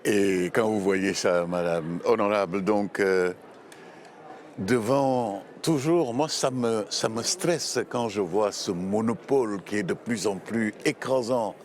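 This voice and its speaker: A man co-moderating a panel debate, hesitant at times and animated when he presses a point. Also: fairly low pitch, slow pace.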